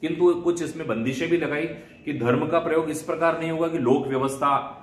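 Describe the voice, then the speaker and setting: A man's voice: fairly high pitch, steady tone, brisk. An Indian man giving an explanatory law lecture in a didactic way, restating terms in two languages. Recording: echoey room, faint background noise.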